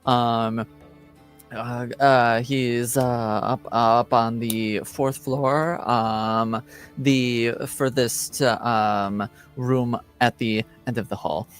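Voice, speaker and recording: A man narrating a tabletop roleplaying game as game master, casual and halting as he searches for words. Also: mid-range voice, steady tone, soft background music.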